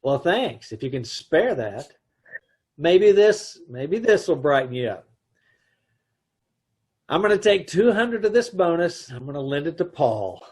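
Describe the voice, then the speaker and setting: A man's voice: a little high, big pitch swings, unhurried, pauses often, a little mellow, slightly rough, full character voice. A man playing an exasperated, wry husband who also narrates, in an amateur old-time radio play. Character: put-upon husband, adman Mister Ace. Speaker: narrator of the story.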